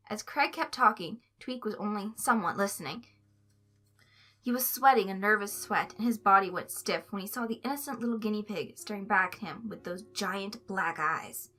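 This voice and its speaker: A woman narrating a story aloud in an expressive, scripted reading style. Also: mid-range voice. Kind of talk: expressive fan fiction reading.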